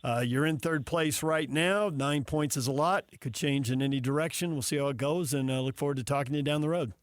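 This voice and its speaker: A man's voice: on the high side, a little fast, dry recording, studio quality. An American man hosting sports radio, casually introducing segments and guests. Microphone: close studio microphone.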